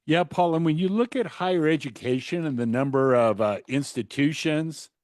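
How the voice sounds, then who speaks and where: A man giving conversational policy commentary as a co-host, in a halting, rambling way. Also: a little high, darkish tone, no room sound, clean studio sound. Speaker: podcast co-host, businessman and consultant.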